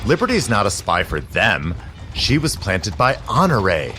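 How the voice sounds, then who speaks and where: Cynical male conspirator for audio drama, low pitch, very animated, condescending bite. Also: measured pace, background noise. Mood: cynical, superior. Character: scheming, cynical male society conspirator, world-weary and superior.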